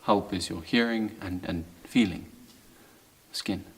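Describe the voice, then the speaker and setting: A man giving informal instruction as a caver, in halting phrases that trail off. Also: sits low, husky.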